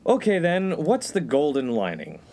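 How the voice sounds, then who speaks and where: A man's voice: a little high, wildly swooping pitch, normal speed, darkish tone. A young man playing an exasperated, put-upon college freshman with a dry manner in a comedy radio play. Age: young adult. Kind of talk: 1950s-set comedy radio play acting.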